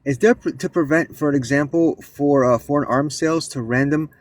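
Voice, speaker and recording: A man in an online debate, arguing forcefully and exasperatedly, often restarting his sentences. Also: a little high, brisk, no echo. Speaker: debate participant. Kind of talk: casual live-stream debate.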